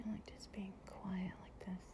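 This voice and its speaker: A woman speaking softly, often in a breathy whisper, in an intimate, affectionate and soothing improvised girlfriend ASMR roleplay. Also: mid-range voice, little pitch movement, moderate pace. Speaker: audio roleplay performer playing an affectionate girlfriend.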